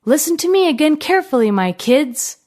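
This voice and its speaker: A woman's voice: high-pitched, very animated, a little fast, darkish tone, voice pushed up. A woman voicing a sly big bad male wolf in a storybook, coaxing with false friendliness. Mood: coaxing, pretending.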